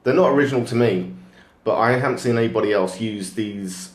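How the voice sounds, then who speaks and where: A man with a fairly low voice chats in a loud, relaxed way about his work as a magician, laughing easily. The room around him is bare and echoey. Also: a little fast. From Hong Kong, to British parents. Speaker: close-up magician guest. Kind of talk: casual interview chat.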